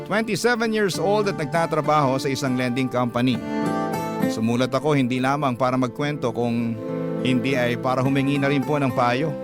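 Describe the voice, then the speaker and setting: A man working as a radio host, reading listeners' love letters in a measured, sentimental, dramatic narration. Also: mid-range voice. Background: loud background music.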